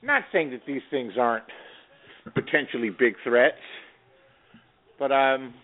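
A man's voice: mid-range voice, lively intonation, a little slow. A man talking politics on a casual talk radio show, earnest and exasperated, rambling through fillers as he goes. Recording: tinny phone-like radio audio.

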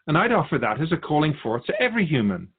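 Man as otherworldly sage, thin phone-like sound, husky, lively intonation, patient philosophical teaching, measured explanations. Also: on the high side, full character voice. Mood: thoughtful, encouraging. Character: otherworldly spirit Keeper, wise, patient observer of humankind. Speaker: guest sage on a radio show.

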